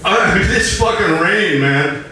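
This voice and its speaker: A man's voice: high-pitched, lively intonation, takes it slowly, pushed and bright, exaggerated voice. A man voicing a bulky, dim, happy-go-lucky slacker in a live story reading, with a slack, oblivious manner. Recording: echoey stage recording from far off.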